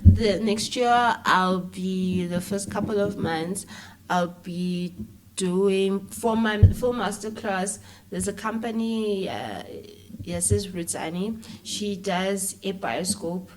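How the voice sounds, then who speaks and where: A woman's voice: medium pitch, takes it slowly, poor audio. A woman with a South African accent chatting informally and animatedly as an interview guest, using plenty of slang. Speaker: interview guest, filmmaker and actress. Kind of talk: casual conversational interview.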